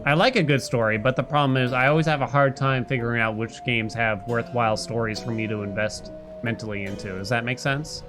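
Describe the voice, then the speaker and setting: A man's voice: mid-range voice, brisk, few pauses. A man giving casual live gameplay commentary, thinking aloud, chatting with viewers and reading bits of on-screen text. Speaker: game streamer talking to live chat. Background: game music.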